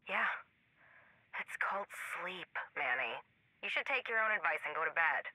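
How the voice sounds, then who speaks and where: A woman's voice: sits low, lively intonation, normal speed, sharp tone, no echo, exaggerated voice, rough recording. An actress playing a level-headed, teasing pregnant woman in a video game, with a wry, reassuring way of talking. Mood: wry, exasperated. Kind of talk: naturalistic game dialogue.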